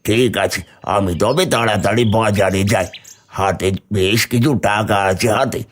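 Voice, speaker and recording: Man acting a low-voiced, sly village schemer. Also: moderate pace, close to an everyday voice. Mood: boastful. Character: sly, greedy village man, furtive fish thief. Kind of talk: cartoon dialogue.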